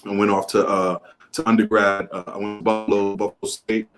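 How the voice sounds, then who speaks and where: A man from the Bronx, a podcast guest telling his life story in a relaxed, reflective way. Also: fairly low pitch, fairly quick, music in the background. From the Bronx, New York; Dominican. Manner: laid-back, reflective, says man and right often.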